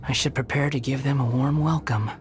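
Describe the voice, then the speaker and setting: A man's voice: medium pitch, steady tone, brisk, natural voice. A man playing a sardonic, haughty young villain in a video game, with a mocking, theatrical, condescending delivery. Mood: indignant. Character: sardonic, haughty young male puppet villain with bitter temper. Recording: music behind the voice.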